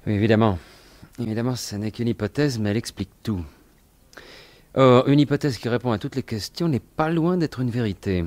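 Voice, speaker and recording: An actor playing a confident, wry gentleman thief turned investigator in a French radio mystery in 1950s style, speaking in assured, formal, articulate phrases. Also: mid-range voice, fairly quick, natural voice. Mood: jaunty, confident.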